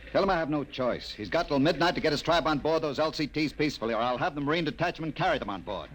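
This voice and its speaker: An actor playing a curt, domineering army general in a 1950s radio drama, barking orders. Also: male voice, fairly high pitch, lively intonation, quick pace, slight background noise. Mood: impatient. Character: gruff domineering army general, middle-aged man. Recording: old radio broadcast with loud music.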